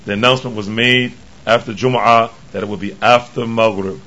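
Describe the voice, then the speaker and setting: A man with a hoarse, tired voice giving a religious lecture as the imam, speaking haltingly with many pauses and restarts. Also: lowish voice, steady tone, sharp tone, highs cut off. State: tired from travel.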